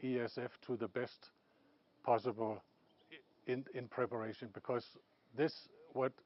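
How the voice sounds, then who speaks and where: A man with a Danish accent gives a halting interview statement, stopping and restarting often. The recording is slightly dull and lo-fi. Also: mid-range voice, little pitch movement. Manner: halting, many fillers and restarts. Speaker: technical advisor.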